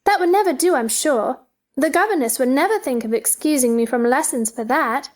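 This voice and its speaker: A woman's voice: high-pitched, a little fast, lightly acted. A woman playing a curious, polite young girl, speaking with earnest wonder in a theatrical storybook dramatization.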